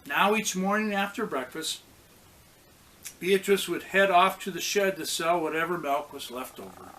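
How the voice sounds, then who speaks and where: A man's voice: high voice, expressive, moderate pace, bright, forceful tone, rough recording. A man reading a storybook aloud to children as a pastor, expressively acting out the characters' lines.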